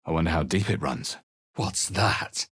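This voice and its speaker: A man's voice: very deep voice, lively intonation, unhurried, fairly bright tone, husky, full character voice. A man voicing a wry, observant spell-casting adventurer in a fantasy video game, with an understated way of talking. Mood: musing. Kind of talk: video game character barks and spell incantations.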